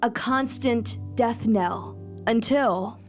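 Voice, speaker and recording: A woman narrates a news feature in a fairly high voice. Her scripted delivery is slow, solemn and dramatic, with weighty pauses. Instrumental music plays behind her. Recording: music bed under voice-over.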